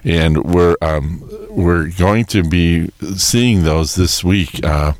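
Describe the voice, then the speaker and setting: A man's voice: deep voice, slow pace. A man giving casual explanatory talk as a technology expert on a radio segment.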